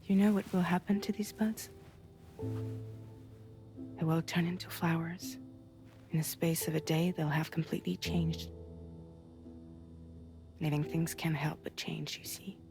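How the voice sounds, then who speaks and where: Low soft philosophical mentor lady in a game cutscene, calm, bit whispery, music behind. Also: unhurried, long pauses. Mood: tender, reflective. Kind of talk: cinematic video game dialogue.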